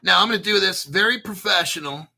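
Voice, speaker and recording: Gravelly, bright-voiced blunt male host. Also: high voice, fast, a little hiss or hum. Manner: blunt, profane, rambling with restarts. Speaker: crypto channel host. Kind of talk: casual livestream chat.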